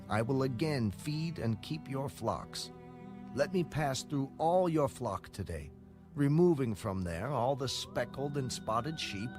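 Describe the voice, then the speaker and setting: A man's voice: mid-range voice, natural voice. Man acting as earnest biblical shepherd Jacob, music behind. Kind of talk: dramatized audio Bible. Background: background music.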